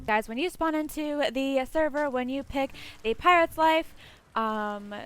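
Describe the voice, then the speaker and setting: A woman's voice: high voice, unhurried, soft background music. A woman hosting a casual game walkthrough, chatting informally and addressing viewers directly. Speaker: gameplay video host. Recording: poor home mic, faint noise.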